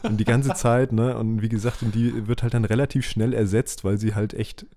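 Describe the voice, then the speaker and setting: A man's voice: on the low side, steady tone, normal speed, warm tone. A man co-hosting a podcast, chatting casually in an amused, slangy, informal way. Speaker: podcast co-host. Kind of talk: casual chat.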